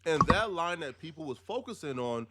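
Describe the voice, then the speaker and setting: A man's voice: on the high side, sing-song, normal speed, heavy background noise. A man giving explanatory commentary in an emphatic, rhetorical way with streetwise phrasing.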